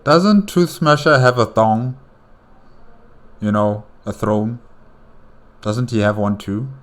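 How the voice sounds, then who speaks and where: A man hosting a reaction video, musing casually and thinking out loud. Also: lowish voice, takes it slowly. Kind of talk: casual commentary.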